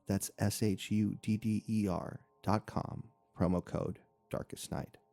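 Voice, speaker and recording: A male podcast announcer with a very low voice, full of gravelly creak. He delivers a scripted sponsor read in a dark, mellow tone. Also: fairly even intonation, a little slow, no room sound.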